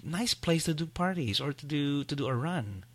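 A man hosting a casual podcast, chatty and quick to laugh. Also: a little high, expressive, dry recording. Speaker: podcast host. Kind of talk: casual chat.

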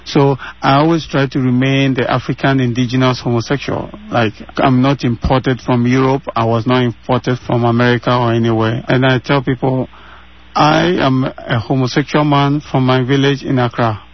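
A man's voice: medium pitch, fairly even intonation, normal speed, lo-fi sound. A man in his thirties from Ghana with a Ghanaian accent, answering interview questions earnestly and repeating phrases for emphasis, heard over a remote line from his home. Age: 30s.

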